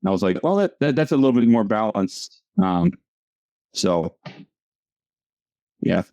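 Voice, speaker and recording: A man's voice: mid-range voice, sing-song, a little slow, creaky, warm tone, no echo. A man, a comic strip creator, chatting casually as an interview guest, rambling and often restarting his sentences. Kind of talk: casual conversation. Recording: dull lo-fi audio.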